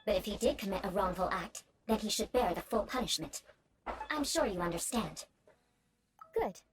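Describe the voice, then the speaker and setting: A woman's voice: medium pitch, lively intonation, normal speed, noisy background, close to an everyday voice. An actress voicing a haughty, prim young noblewoman in a video game, speaking formally and imperiously with ornate phrasing. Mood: cool, stern. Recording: game music behind the voice.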